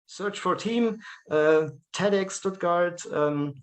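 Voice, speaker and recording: A man's voice: high voice, slow pace. A German man with a German accent, a guest speaking English as a second language in a casual video-call interview, hesitant, with frequent fillers and restarts. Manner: hesitant, many fillers and restarts, laughs often. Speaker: guest, event organizer.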